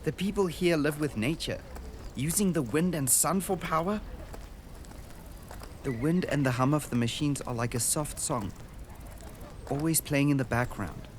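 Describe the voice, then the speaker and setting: Earnest young boy traveler played by a man, fairly high voice, gentle radio-drama storytelling over clear background noise. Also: unhurried, pauses often, natural voice. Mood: hushed wonder. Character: earnest, wonder-struck boy recording a journey for his sister. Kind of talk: radio drama narration and dialogue. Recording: noisy outdoor ambience behind the voice.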